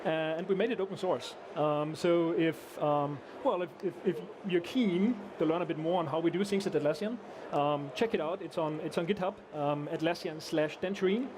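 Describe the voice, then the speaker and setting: A man's voice: on the high side, normal speed, noisy background. A man from Austria, a guest engineer chatting in an interview, restarting and hesitating often. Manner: hesitant, many restarts and fillers. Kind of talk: casual conversational interview. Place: conference event.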